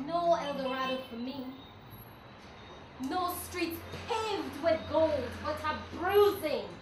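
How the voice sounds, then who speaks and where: A young woman recites poetry in a high, declamatory voice. She takes it slowly, and the sound is echoey with noticeable noise. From Guyana. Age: young adult. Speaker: student reading poetry. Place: indoor live recital event. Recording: echoey, distant room with clear noise.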